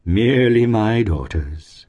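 A complacent, unctuous gentleman played by a male narrator in a storybook tale. He lifts and swoops through his flattering phrases, deliberate and oratorical, thoroughly pleased with himself. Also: lowish voice, muffled recording, voice pushed up. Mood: complacent pride.

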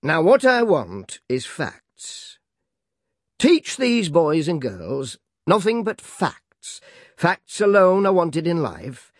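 A man voicing a rigid, domineering middle-aged Victorian schoolmaster who speaks slowly and emphatically, read for an audiobook. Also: fairly high pitch, huge pitch swings, no echo, studio quality, exaggerated voice. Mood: stern. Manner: slow, emphatic, dictatorial. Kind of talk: audiobook character reading.

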